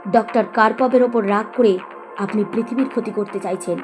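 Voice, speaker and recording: A woman's voice: mid-range voice, over music, natural voice. A woman acting a resolute, principled young woman in a Bengali audio story, speaking firmly and earnestly. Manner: firm, earnest, measured.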